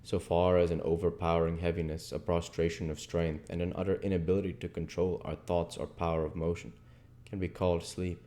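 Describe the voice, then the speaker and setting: A man with a very low, mellow voice narrates classic literature. His reading is expressive, with measured and dramatic phrasing. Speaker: reader and host of an audiobook channel.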